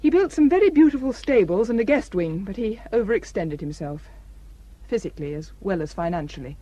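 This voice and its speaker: Woman actor as young country doctor, female, frank, quietly sad, middle pitch, naturalistic radio drama. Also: animated, brisk, natural voice, low-quality recording. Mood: subdued, confiding.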